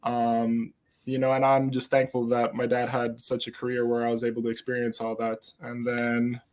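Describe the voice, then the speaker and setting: Young Serbian man, hesitant, mid-pitched. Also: monotone, moderate pace, a little mellow. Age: 20s. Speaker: basketball player guest. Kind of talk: casual interview answers.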